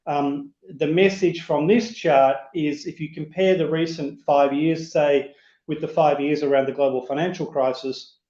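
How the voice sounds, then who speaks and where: A man who is getting over a cold, sounding croaky, presents an economic outlook on a video call with many fillers and restarts. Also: on the high side, darkish tone. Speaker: chief economist, webinar speaker. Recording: roomy, dull remote webinar audio.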